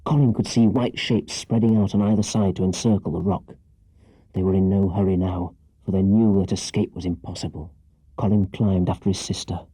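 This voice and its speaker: A male audiobook narrator tells a children's adventure with dramatic care. His voice is lowish, very dark and husky. The recording is dry, with little room around the words. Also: steady tone, brisk, no echo, poor audio.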